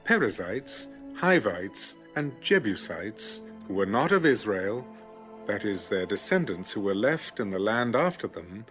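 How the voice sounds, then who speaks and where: A man narrating scripture from a script in a measured, formal way. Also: lowish voice, a bit bright. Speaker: narrator of an audio Bible.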